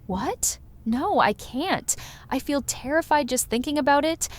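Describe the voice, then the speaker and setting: A woman's voice: high-pitched, expressive, measured pace. A woman playing an emotionally raw woman finding her courage, in simple short sentences for an educational radio drama. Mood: scared. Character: Olivia, emotionally raw young woman finding courage after losing job. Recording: music bed behind the voice.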